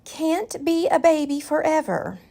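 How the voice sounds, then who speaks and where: A woman's voice: high voice, animated, unhurried, vocal fry, warm tone, pitched above the performer's own voice, heavily acted. A woman voicing a meddling, know-it-all neighbour in a storybook, with a chiding, knowing manner.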